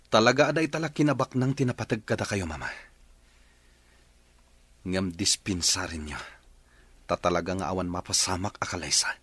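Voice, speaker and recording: Young son in love, Filipino accent, radio drama, defiant, low-pitched, husky, dry sound. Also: male voice, lively intonation, moderate pace, pauses often, a bit bright, no echo, heavily acted. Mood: defiant, pained.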